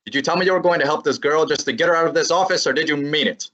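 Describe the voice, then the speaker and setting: A cocky young assistant needles his boss and narrates the story in an old-time radio drama, as a performer plays him. His mid-range voice is a little husky and breezy. The recording sounds somewhat dull and lo-fi. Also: medium pitch, very fast, a bit bright, natural voice. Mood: needling. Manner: brash, teasing, breezy.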